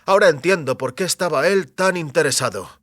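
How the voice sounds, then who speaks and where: Un actor interpreta a un extécnico fugitivo, decidido y calculador, en una audioficción, con habla segura y pausada. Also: male voice, a little high, fairly bright tone, no echo, studio quality. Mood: determined. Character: Jennings, determined scheming former technician on the run. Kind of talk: science fiction audio drama dialogue.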